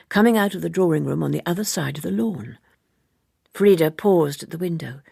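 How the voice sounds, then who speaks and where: A woman reading literary narration as an audiobook narrator, measured and precise. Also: lowish voice, creaky, mellow, breathy edge, no room sound, clean studio sound.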